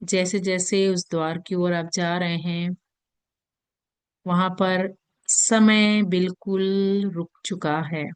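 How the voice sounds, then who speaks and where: A woman with an Indian accent leads a guided meditation in a gentle, soothing manner with long pauses. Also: lowish voice, fairly even intonation, smooth, no room sound. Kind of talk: guided meditation in Hindi mixed with English.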